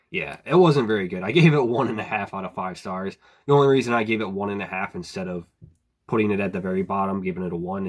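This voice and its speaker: A man with a lowish, slightly hoarse voice, just getting over a cold. He reviews horror books in a relaxed, rambling way, full of "like" and "um", and clears his throat now and then. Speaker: book reviewer. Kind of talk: casual book review vlog.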